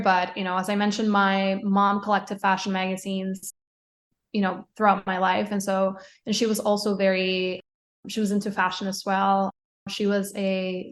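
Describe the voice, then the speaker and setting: Flat-voiced young woman from Puerto Rico. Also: mid-range voice. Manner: chatty, many fillers and restarts, laughs often. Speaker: podcast guest, fashion writer. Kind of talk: casual interview answers.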